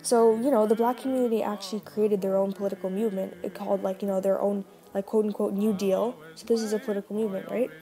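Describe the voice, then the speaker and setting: A woman's voice: mid-range voice, fairly even intonation, fast talker, few pauses, mellow, lightly acted. A woman playing an opinionated Depression-era radio host, talking insistently and conversationally with many rhetorical questions. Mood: earnest, insistent.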